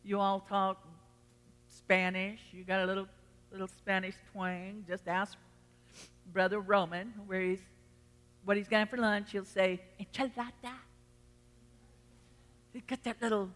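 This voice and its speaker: A woman's voice: medium pitch, flat delivery, measured pace, long pauses, fairly bright tone. A woman preacher with a Texan accent delivering a sermon to a congregation, emphatic, repeating phrases and posing rhetorical questions. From Texas. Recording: reverberant hall.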